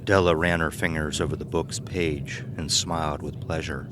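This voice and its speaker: A man's voice: extremely low pitch, fairly even intonation, normal speed, noisy background. A man narrating and announcing from a script for an audio drama. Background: music.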